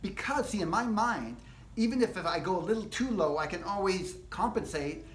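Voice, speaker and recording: Relaxed man explaining guitar keys, echoey. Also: high-pitched, fairly quick. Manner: relaxed, rambling, explains step by step. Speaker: guitar teacher and host. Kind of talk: casual live guitar workshop. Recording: echoey room.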